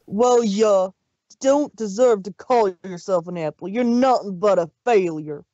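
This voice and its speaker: Scornful farm colt, low and dark, as a woman puts him on. Muffled. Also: a little slow, no echo, natural voice. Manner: harsh, scolding. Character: gruff young farm stallion, eldest brother.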